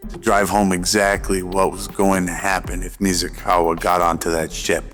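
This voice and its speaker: Male narrator for audio drama: deep, very even delivery, music underneath. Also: moderate pace.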